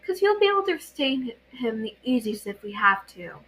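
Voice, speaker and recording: A woman's voice: a little high, very animated, normal speed, a bit bright, slight background noise. A woman voicing a caring but exasperated teenage girl healer, bossy and emphatic, in an amateur story reading. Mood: concerned, insistent.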